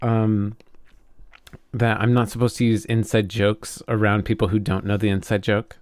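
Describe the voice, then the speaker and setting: A man's voice: fairly low pitch, little pitch movement, normal speed, a little mellow, clear, resonant tone, no room sound. A man co-hosting a podcast in casual, joking conversation. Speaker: podcast co-host.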